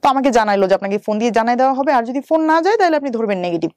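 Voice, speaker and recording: A woman's voice: on the high side, animated, rapid-fire, a little mellow, no room sound. A woman in her thirties with a Bangladeshi accent, telling a personal story step by step as an interview guest, with some English words mixed in. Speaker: interview guest, bank employee.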